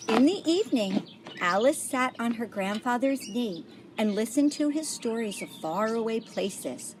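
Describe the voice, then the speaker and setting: A woman reading a picture book aloud to children in a deliberate way, like a volunteer storyteller. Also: on the high side, lively intonation, noisy background. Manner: deliberate, pauses to point out pictures.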